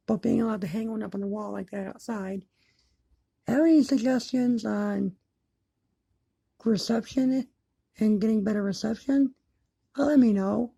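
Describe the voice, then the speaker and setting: A woman with a low-lit, mellow voice and a lot of fry in it talks casually to her viewers. She is plain-spoken and halting, often circling back over what she said. The sound is close and dry. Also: dry recording. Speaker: hobbyist video maker. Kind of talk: casual chat to camera. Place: indoors in an apartment, by a window.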